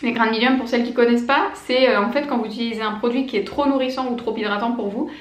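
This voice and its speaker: A woman's voice: on the high side, steady tone, fast, reverberant. A woman in her late twenties chatting enthusiastically to camera as a beauty vlogger, with lots of fillers and restarts. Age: late 20s. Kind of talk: casual product review to camera.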